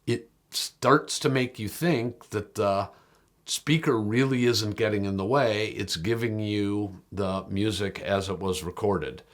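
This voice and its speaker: A man presenting a product review as an unscripted talk, speaking in a measured, deliberate way with pauses and stressed key words. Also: on the low side. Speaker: audio magazine reviewer and host.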